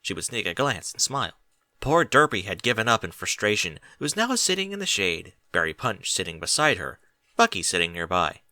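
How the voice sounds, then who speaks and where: A man's voice: lowish voice, big pitch swings, fairly quick, bright, forceful tone, dry recording. A man reading a story aloud as scripted narration. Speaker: audiobook reader of fan fiction.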